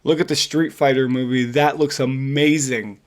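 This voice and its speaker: A man co-hosting a podcast, chatting casually in an excitable, slangy and emphatic way. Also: medium pitch, normal speed. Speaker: podcast co-host. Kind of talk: casual chat about video games and films.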